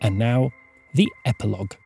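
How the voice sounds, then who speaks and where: A man's voice: mid-range voice, normal speed, gravelly, warm tone. A man reading scripted credits and listener notices as the announcer of a fiction show. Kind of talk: scripted narration. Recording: music under the voice.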